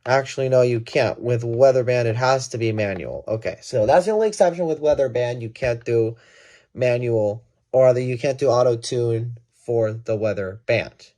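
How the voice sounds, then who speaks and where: A man's voice: medium pitch, normal speed, mellow. A man giving a casual hands-on gadget demonstration, thinking aloud and correcting himself as he goes. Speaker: product reviewer.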